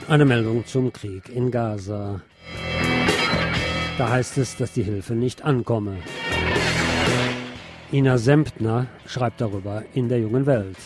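A man reading scripted radio news in a measured, formal manner. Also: medium pitch, loud music behind the voice.

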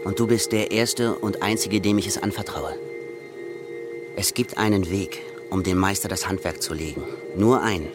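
A man playing a shrewd, secretive mill hand who passes for a simpleton, speaking in a deliberate, knowing radio play manner. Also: deep voice, steady tone, lightly acted. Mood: grave, confiding. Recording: music clearly behind the voice.

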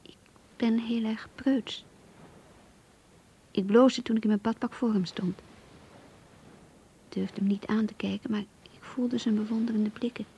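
An actress playing a shy, anxious young woman in love, reading her diary in a radio drama in an intimate, hesitant, breathy voice. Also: a little high, steady tone, unhurried, long pauses, dark tone, highs cut off, a little hiss or hum. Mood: tender, yearning. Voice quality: husky, breathy, half-whispered.

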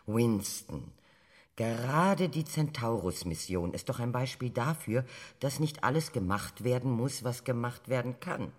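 Old sceptical statesman arguing in a radio play, raspy voice, big echoey hall. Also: mid-range voice, expressive, measured pace. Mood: argumentative. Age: older. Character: elder male public figure, combative sceptical debater. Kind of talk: radio drama acting, televised debate. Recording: long hall reverb, played-back debate recording.

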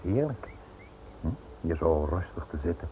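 An actor playing a suave foreign caller in a radio play, courteous, sly and insistent. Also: male voice, sits low, lively intonation, moderate pace, mellow. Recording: tinny, noisy old radio drama recording.

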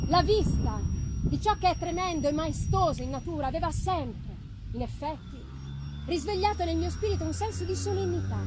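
A woman with a high voice performs a literary narration. Her phrasing is deliberate, solemn and theatrical, suited to gothic fiction. The live recording carries clear background noise. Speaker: literary reader. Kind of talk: dramatic audiobook-style narration of a gothic novel. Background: background noise and ambience of live performance.

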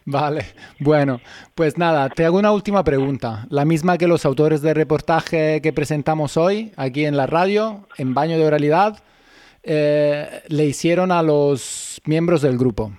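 Male radio host, polite measured questions, slightly high voice, dry studio mic. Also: darkish tone, no room sound. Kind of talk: scripted radio interview.

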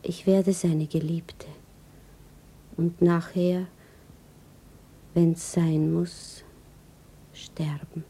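An actress playing a troubled, wistful woman with a secret love in a German radio drama, soft and introspective. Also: lowish voice, flat delivery, very slow, long pauses, slightly rough, full character voice. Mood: resolute, fearful.

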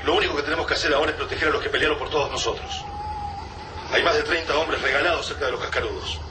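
Urgent, fierce resistance lieutenant, roomy. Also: male voice, fairly high pitch, huge pitch swings, very fast, background noise. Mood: urgent, determined. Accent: Argentine Rioplatense. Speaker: radio drama actor. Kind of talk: radio drama acting. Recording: roomy, distant radio drama mix with music.

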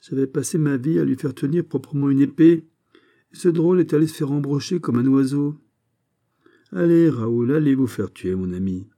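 A man playing a gruff, middle-aged musketeer captain in an audiobook, blustering and mocking, with fatherly sarcasm. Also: lowish voice, normal speed, warm tone, close to an everyday voice. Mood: sardonic scolding. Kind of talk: dramatised audiobook of a historical novel.

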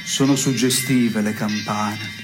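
An actor playing a world-weary cowboy in an absurdist stage dialogue, speaking in a deadpan, sententious way. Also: male voice, lowish voice, slow, over music. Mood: dry, musing. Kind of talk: theatre dialogue.

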